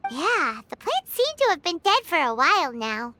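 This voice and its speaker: A woman voicing a tiny, excitable, curious childlike fairy companion in a video game, with a squeaky voice. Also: moderate pace, exaggerated voice. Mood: puzzled, eager. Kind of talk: English video game cutscene acting. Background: game music.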